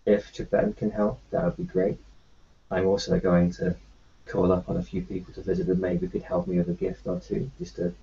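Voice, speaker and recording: A man roleplaying his character in a tabletop game, talking in a calm, reassuring, matter-of-fact way. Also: lowish voice, fairly quick, gravelly, highs cut off.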